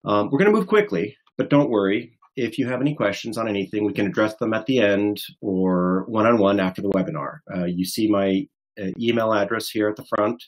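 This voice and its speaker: American guy doing a webinar talk, kinda low voice, polished and explains stuff clearly. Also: fairly quick. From Estonia and the United States. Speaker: government trade director, guest speaker. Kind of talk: webinar presentation.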